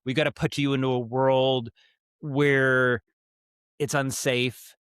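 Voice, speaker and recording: A man speaking as a podcast guest in casual interview talk, explaining his craft in a halting, thoughtful way with frequent self-corrections. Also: medium pitch, flat delivery, a bit bright, smooth, no room sound, clean studio sound. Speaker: guest, audio drama writer and director.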